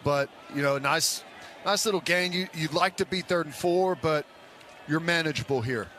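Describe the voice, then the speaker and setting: Male football color analyst, higher-pitched voice. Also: moderate pace, sharp tone, a little hiss or hum. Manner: conversational, explanatory, speaks in short loose phrases. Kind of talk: football analysis between plays. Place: stadium broadcast booth.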